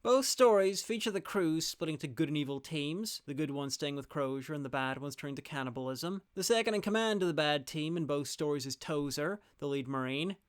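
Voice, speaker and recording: A man's voice: fairly high pitch, lively intonation, fairly quick, hardly any pauses, smooth, no echo. A man delivering a scripted review as a video host, with wry, dry asides.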